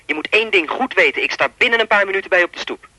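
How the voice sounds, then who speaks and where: An actor playing a sinister, persistent phone stalker in a Dutch radio play, talking in a pompous, wordy, coolly controlling way. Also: male voice, sits high, expressive, very fast, pushed and bright, no echo, full character voice, low-quality recording. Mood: controlling, menacing.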